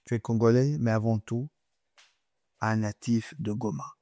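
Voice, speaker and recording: A male interviewer asks his guest measured, formal questions. His voice sits at an ordinary pitch and the recording is dry and close. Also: fairly even intonation, mellow, dry recording.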